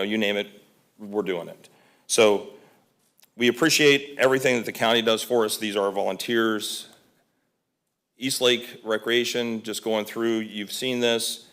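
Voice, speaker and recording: A man's voice: lowish voice, fairly bright tone. A man presenting to a county board as a volunteer, talking informally with fillers and restarts. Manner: conversational, many fillers and restarts. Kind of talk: public meeting presentation. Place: county commission meeting room.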